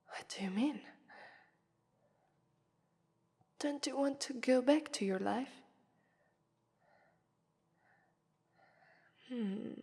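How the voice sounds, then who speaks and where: A haughty female vampire , acted by a woman, speaks in long, cavernous reverb. Her Italian-accented voice is slightly high and husky, often whispered. She taunts with condescending, predatory superiority, in the manner of an ASMR roleplay. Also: darkish tone, full character voice. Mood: mocking, taunting.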